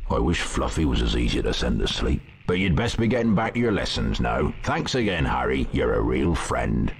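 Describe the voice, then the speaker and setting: A man playing a huge, kindly, gruff giant gamekeeper with a West Country accent in a video game, giving folksy cautions. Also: rumbling low, sing-song, normal speed, heavily acted. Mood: friendly, warning. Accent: English West Country. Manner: plain-spoken, cautioning, folksy. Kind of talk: video game character dialogue.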